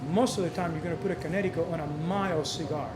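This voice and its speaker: High-voiced man teaching, non-native speaker. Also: fast talker, noisy background. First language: not English. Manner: repeats key points, instructive. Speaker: cigar shop host. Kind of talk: live instructional talk. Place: cigar shop. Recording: echoey room with crowd chatter.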